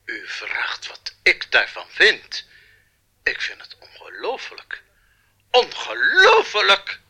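An actor playing an excitable, commanding police commissioner in a radio drama, dramatic and authoritative. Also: male voice, fairly high pitch, slow pace, slightly rough, full character voice. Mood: aghast.

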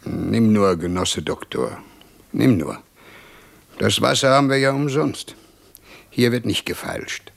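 An actor playing a gruff but jovial, teasing caretaker and father in a German radio play, with a hearty, folksy, grumbling manner. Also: lowish voice, lively intonation, slow, pauses often, exaggerated voice. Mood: jovial, mocking.

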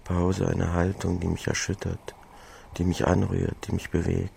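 A man's voice: low-pitched, completely flat delivery, unhurried, vocal fry, mellow, a little hiss or hum. A man delivering a reflective spoken radio essay, musing and rephrasing as he goes. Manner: musing, repeats and rephrases phrases. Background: music.

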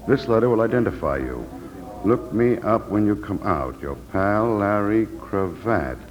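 An actor playing a polite, formal, easily flustered bank teller in 1940s radio drama style. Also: male voice, on the low side, expressive, measured pace, dull audio. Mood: measured reading. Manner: deferential, formal. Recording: reverberant old radio broadcast.

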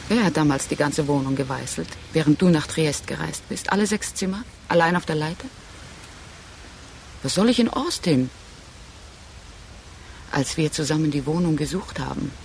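A woman's voice: sits low, gravelly, slight background noise. An actress in a radio drama playing a proud, reproachful woman leaving her partner, insistent and speaking in clipped, accusing phrases. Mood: bitter, resolute. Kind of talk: restrained radio drama dialogue.

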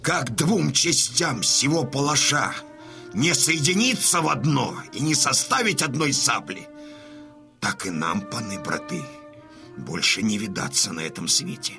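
A man's voice: mid-range voice, lively intonation, measured pace, sharp tone, soft background music. A man playing a defiant old Cossack colonel, grave and oratorical, giving commanding speech in an audio drama. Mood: solemn farewell. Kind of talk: Russian audio drama acting.

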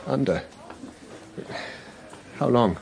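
An actor playing an earnest scientist in his thirties, recovering in hospital, with halting, questioning speech in a radio drama. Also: mid-range voice, animated, creaky, darkish tone, a little hiss or hum, exaggerated voice. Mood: confused. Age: 30s. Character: earnest thirty-eight-year-old geophysicist, a recovering patient, conscientious. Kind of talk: naturalistic radio drama acting.

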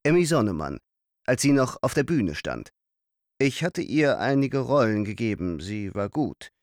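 Vain theatrical stage star. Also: male voice, medium pitch, very animated, measured pace. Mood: somber. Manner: theatrical, declaiming. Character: vain theatrical male actor-director, host of the estate. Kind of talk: radio play acting.

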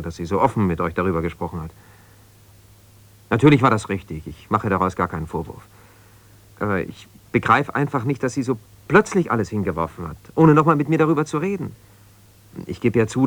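Low-voiced, defensive husband. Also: male voice, fairly bright tone, no echo. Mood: self-justifying. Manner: argumentative, insistent, hesitating when conceding. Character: ambitious lawyer, abandoned husband, self-justifying, stubborn, defensive. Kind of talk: naturalistic radio drama monologue on the telephone.

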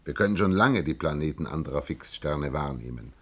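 Earnest low-voiced young alien scientist. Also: male voice, animated, fairly bright tone, breathy edge, exaggerated voice. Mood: grave, explaining. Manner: halting, hesitant, given to grave pronouncements. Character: earnest young scientist claiming to be from another planet. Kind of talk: German radio play acting.

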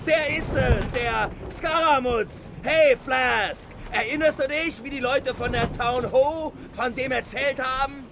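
An actor playing an excited, jeering whaling mate calling out loudly in a radio drama. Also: male voice, very high-pitched, takes it slowly, hardly any pauses, background noise, exaggerated voice. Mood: excited, mocking.

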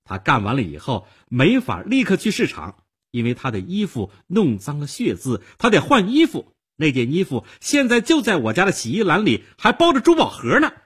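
A storyteller voicing a jealous, indignant woman who makes loud, emphatic accusations in an audiobook-style tale. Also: low-pitched, wildly swooping pitch, normal speed, slightly rough, voice pushed up, heavily acted. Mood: furious, accusing.

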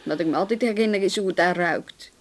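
An actress in a radio play voicing a brisk, wry female police inspector with a dry, businesslike manner. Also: lowish voice, natural voice. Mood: wry, chatty. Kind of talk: radio drama acting.

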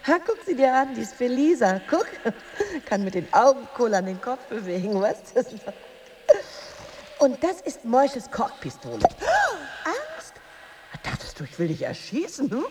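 A woman's voice: high-pitched, wildly swooping pitch, unhurried, very noisy, full character voice. An actress playing a chatty, condescending married woman needling a lonely rival in a German radio drama, gushing and giggly.

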